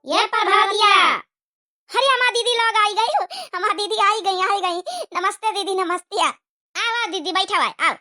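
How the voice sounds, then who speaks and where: A cartoon village mother-in-law, acted by a woman, bossy and easily flustered. She fusses in an Awadhi accent. The voice is extremely high and sharply bright. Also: normal speed, soft background music. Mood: excited. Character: bossy, fussing older village mother-in-law welcoming guests. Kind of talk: exaggerated cartoon comedy dialogue.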